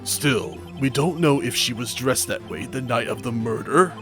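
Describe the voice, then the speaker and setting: Stern old male judge voiced by a man, courtroom video game, formal and measured delivery, mid-pitched, music underneath. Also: a bit bright, close to an everyday voice. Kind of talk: amateur video game dub.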